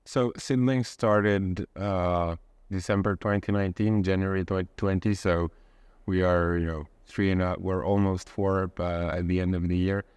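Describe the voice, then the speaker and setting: A man's voice: sits low, fairly even intonation, normal speed, a little mellow, smooth, no room sound. A young man in his twenties, a podcast guest, who talks with many restarts and repeated words. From Romania. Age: 20s. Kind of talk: casual interview.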